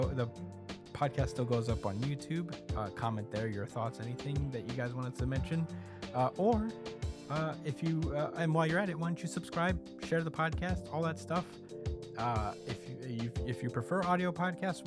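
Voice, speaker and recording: Laid-back male podcast host, loud music behind. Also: medium pitch. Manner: laid-back, rambling with many fillers. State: voice going raspy. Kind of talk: casual podcast chat.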